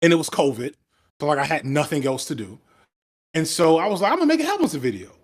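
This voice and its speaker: A man's voice: fairly high pitch, big pitch swings, breathy edge. Reflective man talking over a headset. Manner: reflective, fast, self-interrupting. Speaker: guest, video essayist. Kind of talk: casual video call chat. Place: room at home indoors.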